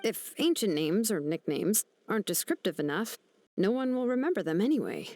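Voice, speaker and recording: A woman voicing a laid-back, methodical young blacksmith in a video game, explaining things in a matter-of-fact, unhurried way. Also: medium pitch, sing-song, mellow, close to an everyday voice. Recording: game music behind. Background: game music.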